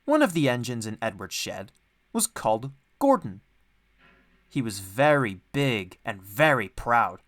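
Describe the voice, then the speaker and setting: A man narrates a children's storybook. His medium-pitched voice moves with lively, expressive intonation, in the manner of an audiobook reader. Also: unhurried.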